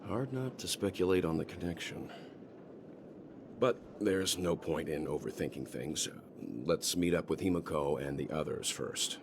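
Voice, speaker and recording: An actor playing a calm, thoughtful, dignified middle-aged traveller in a video game, speaking in a measured, reflective way. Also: male voice, low voice, noisy background. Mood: calm, pensive. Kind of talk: scripted video game dialogue.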